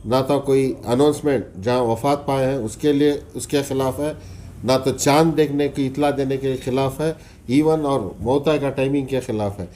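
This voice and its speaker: A man's voice: mid-range voice, mellow. A man making a press statement as a spokesman, point by point, with English terms mixed in. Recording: faintly noisy press meet recording.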